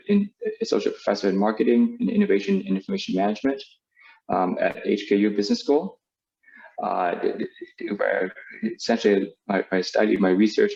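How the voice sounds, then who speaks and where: A man's voice: fairly low pitch, creaky, a little mellow. A man in his forties, born in Vietnam and raised in the United States, presenting as a professor in a video call, with many fillers and restarts. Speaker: business school professor giving a webinar talk. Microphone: video call microphone. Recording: dull lo-fi video call audio.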